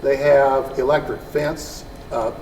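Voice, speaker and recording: Far from the mic in a reverberant room with some faint noise, a man speaks up from the audience. His voice is mid-pitched and he comments in a casual, practical way. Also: fairly even intonation, slow, a little mellow.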